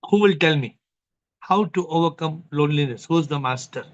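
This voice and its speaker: A man hosting an online spiritual group session over a video call, lively and chatty, his voice a bit tired from long speaking the day before. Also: fairly high pitch, normal speed. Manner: lively, greets many participants by name. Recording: dull lo-fi video call audio.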